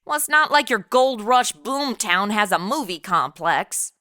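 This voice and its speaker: Woman playing a bright, flippant party girl. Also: mid-range voice, animated, unhurried. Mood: sarcastic. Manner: slangy, sarcastic, blasé. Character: flippant, sarcastic modern party girl in the Gold Rush. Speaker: lead character and narrator. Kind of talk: comedy audio drama acting and narration.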